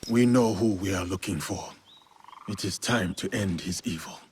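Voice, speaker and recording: A man's voice: low voice, moderate pace, a little mellow, a little hiss or hum, lightly acted. A man playing a solemn, courteous warrior in a video game, speaking in a formal, restrained way. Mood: resolute. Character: solemn, courteous male warrior seeking answers. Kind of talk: dramatic video game cutscene acting.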